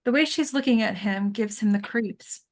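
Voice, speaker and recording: Female narrator for screenplay table read; medium pitch, scripted delivery of scene directions. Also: moderate pace. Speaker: stage directions reader.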